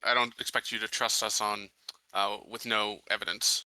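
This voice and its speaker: A man's voice: lowish voice, level tone, normal speed, crowd noise. A man playing a tabletop roleplaying game, talking casually and a bit hesitantly in and out of character.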